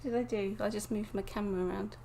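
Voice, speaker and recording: A woman from England, chatting casually to viewers on a live stream from her desk, croaky and sniffly with a cold, often laughing. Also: medium pitch, steady tone, fast talker, mellow, a little hiss or hum. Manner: chatty, giggly, greets viewers by name. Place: home desk indoors.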